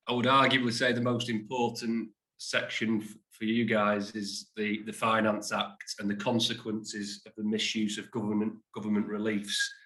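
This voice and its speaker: A man with a lowish, flat voice opens a business webinar in a self-deprecating, hesitant way, full of ums and restarts. He sounds like he is in a roomy, echoey space on a video call. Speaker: insolvency practitioner presenting a webinar.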